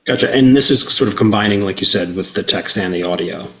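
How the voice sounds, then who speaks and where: Male interviewer, deep with a sharp edge, casual and attentive, recorded with noticeable room echo. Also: fast talker. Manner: brief attentive acknowledgements. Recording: roomy, echoey sound.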